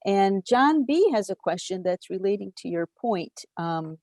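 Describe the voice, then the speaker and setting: A woman's voice: medium pitch, lively intonation, a little slow, a little mellow, no echo, studio quality. A woman hosting and moderating a virtual talkback over a video call, effusive and reading out audience questions. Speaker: library program host and moderator.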